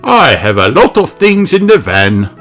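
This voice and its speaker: Man voicing a boastful friendly fox, storybook reading, slow lively delivery, music bed. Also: on the high side, voice pushed up. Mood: proud. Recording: music playing behind.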